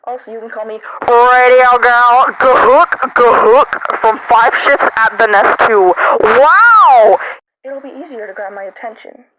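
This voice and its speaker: A woman's voice: a little high, a little slow, bright, forceful tone, slight background noise, rough recording. A woman voicing a worker creature as a joke audition, with a radio voice effect and a playful, exaggerated delivery. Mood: jokey.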